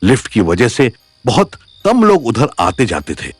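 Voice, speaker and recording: Very animated male story narrator. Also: mid-range voice, unhurried, slightly rough. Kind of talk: dramatic scripted story narration. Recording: music under the narration.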